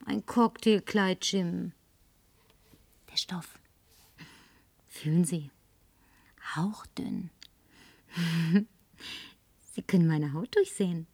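A woman's voice: fairly low pitch, drawn-out words, pauses often, a little mellow, no echo, heavily acted. A woman dubbing a lonely, tipsy, flirtatious woman, talking in a coaxing, whispery way that at times turns demanding. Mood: flirty. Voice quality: breathy, whispery. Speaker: advertising agency woman. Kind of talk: German film dub.

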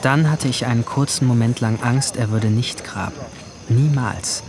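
A man's voice: medium pitch, moderate pace, gravelly, a crowd in the background, close to an everyday voice. A man playing an anguished, withdrawn seventeen-year-old boy, narrating a radio drama in a subdued, introspective, literary first person. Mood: anxious, brooding. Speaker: narrator and main character.

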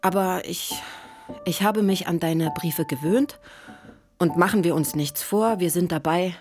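A woman's voice: fairly low pitch, a little slow, a little mellow, faint music behind, natural voice. A woman playing a brash, self-mocking middle-aged actress who uses drugs, in a naturalistic radio drama monologue with blunt, conversational delivery. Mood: brash, teasing.

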